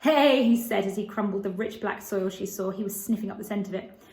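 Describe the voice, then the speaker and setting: A woman's voice: mid-range voice, level tone, quick pace, dark tone. A woman narrating a children's novel as a storybook reader, in scripted read-aloud style. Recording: echoey room.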